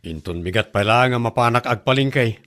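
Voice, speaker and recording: A man playing a simple, excitable middle-aged bachelor in an Ilocano radio comedy drama, with an Ilocano accent. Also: mid-range voice, brisk, lightly acted. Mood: exasperated.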